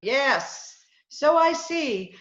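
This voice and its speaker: Radio comedy wife, played by a woman: high, animated, wry and long-suffering, on a muffled recording. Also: drawn-out words, full character voice. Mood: teasing, polite. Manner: wry, teasing, exasperated. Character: dry, long-suffering, sharp-tongued wife. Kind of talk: old-time radio comedy acting. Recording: roomy, muffled video-call recording.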